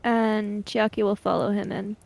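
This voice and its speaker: A woman's voice: mid-range voice, little pitch movement, moderate pace, vocal fry, a little mellow. A woman casually narrating her character's actions as a player in a tabletop role-playing game.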